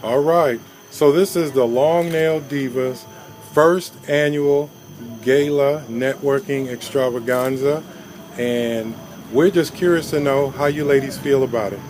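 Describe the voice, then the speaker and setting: A man's voice: mid-range voice, measured pace. Man interviewing over street noise. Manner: relaxed, asks rambling questions with fillers. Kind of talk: on-location interview.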